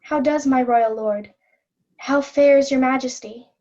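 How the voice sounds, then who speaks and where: A woman's voice: high voice, steady tone, a little slow, a little mellow. A woman playing a tender, grieving princess devoted to her father in a Shakespeare reading, recorded lo-fi. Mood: loving, pleading. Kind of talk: readers' theatre Shakespeare. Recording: dull, lo-fi sound.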